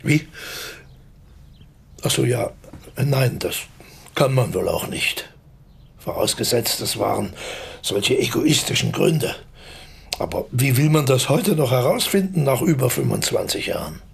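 An actor playing a ruthless, pushy male swindler in a radio play, with a gravelly, husky voice. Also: medium pitch, sing-song, unhurried, long pauses, full character voice. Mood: feigned mildness. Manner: evasive in company, bullying in private.

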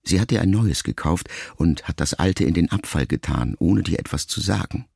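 Deep, gravelly, brooding grown son. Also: male voice, lively intonation, a little mellow, no echo, clean studio sound, heavily acted. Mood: wry, rueful. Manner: measured, introspective, reproachful yet restrained. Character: grown son, a pensive writer addressing his dying mother. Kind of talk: literary monologue in a radio play.

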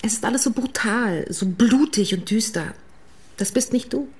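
An actress in a German radio play playing a weary, exasperated wife who turns from evasive to bluntly candid. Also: female voice, on the high side, normal speed, a little mellow, lightly acted. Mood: careful, evasive.

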